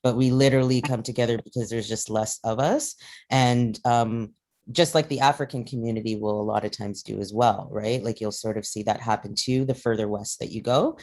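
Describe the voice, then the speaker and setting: A woman's voice: extremely low pitch, fairly even intonation, fairly quick, a little mellow. A woman from Canada, middle-aged, speaking in a casual discussion, rambling with many fillers and restarts. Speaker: panel participant.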